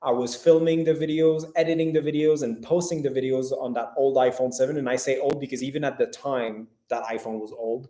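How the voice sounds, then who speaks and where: A young man talks fast and animatedly on a travel livestream, full of "like" and restarts. His voice sits at a middle pitch. He sounds set back from the mic in an echoey room. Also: recorded from a distance. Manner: quick, animated, heavy use of "like". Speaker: travel vlogger host. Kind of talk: casual livestream chat.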